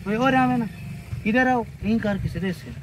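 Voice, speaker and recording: A man's voice: sits high, normal speed, natural voice. A young man with a rural Pakistani Punjabi accent, playing a boastful, hard-up village suitor bantering and making excuses in a comic drama. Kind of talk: improvised comic drama dialogue. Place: outdoors by a brick wall and field. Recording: distant camera sound, faint outdoor noise.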